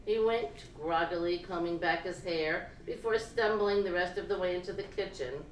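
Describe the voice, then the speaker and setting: A woman gives an expressive literary reading of first-person prose at a live event, phrasing it deliberately and dramatically. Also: lowish voice. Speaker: reader of fiction at a live event. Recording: roomy, distant live-event recording with noise.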